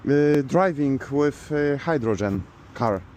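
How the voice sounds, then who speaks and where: Slow-talking man with a Polish accent. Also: medium pitch, lively intonation, darkish tone. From Poland. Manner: halting, simple non-native English. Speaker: vlogger. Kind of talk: casual vlog talk.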